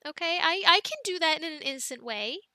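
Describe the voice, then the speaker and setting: A woman playing a devout, affectionate, playful young girlfriend in a casual roleplay, chatting softly and hesitantly with giggly fillers and pauses. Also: extremely high pitch, expressive, dry recording, clean studio sound, heavily acted. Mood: teasing, flustered. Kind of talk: soft girlfriend roleplay, casual improvised-sounding chat.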